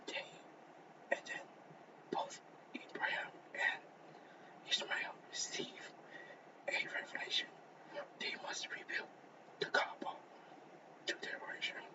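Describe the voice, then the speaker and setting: Guy who lost his voice whispering through a school slideshow, stops and restarts a lot, sounds muffled and a bit far off. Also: very high voice. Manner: halting, with restarts. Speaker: student presenter. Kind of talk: class presentation over slides.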